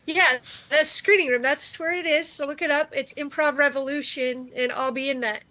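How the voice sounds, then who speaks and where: A woman with a high, bright voice talks casually in a group chat. Her tone is sharp and clear-cut at the top of the female range. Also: fairly even intonation, normal speed. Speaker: improv comedy performer.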